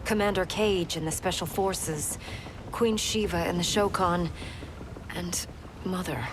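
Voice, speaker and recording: A woman's voice: fairly low pitch, measured pace, lightly acted. A woman playing a grim warrior princess. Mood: grave. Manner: urgent, grave, briefing. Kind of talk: video game cutscene acting. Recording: game cutscene with loud wind and ship noise.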